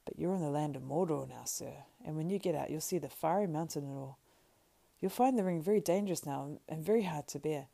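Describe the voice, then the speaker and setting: A woman narrator plays a faithful hobbit servant from a fantasy storybook, earnest and practical. He speaks in humble, rustic turns of phrase, with a mellow, creaky grain to the voice. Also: low-pitched, fairly quick. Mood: earnest, reluctant. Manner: deferential, homely rustic phrasing.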